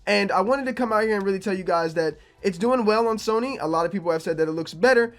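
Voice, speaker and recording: A young man, a gaming YouTuber, talking casually to his viewers with a slightly raspy, low-energy voice from being sick. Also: quick pace. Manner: conversational, repeats himself, low energy. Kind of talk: casual opinion vlog to camera.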